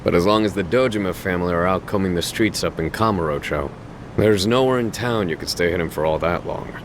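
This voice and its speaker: Actor as sly, low-voiced underworld fixer. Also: male voice, brisk, a little hiss or hum, close to an everyday voice. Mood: casual, coy. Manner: casual, coy, confident. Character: smooth, sly yakuza-world fixer, loyal to his boss. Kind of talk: English video game dub acting.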